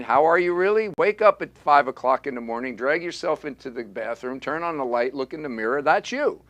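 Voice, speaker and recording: A man's voice: medium pitch, fairly bright tone. A man teaching a live acting class as the coach, talking in an emphatic, repetitive way and drilling the students to repeat key phrases. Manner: emphatic, repetitive, punchy call-and-response teaching.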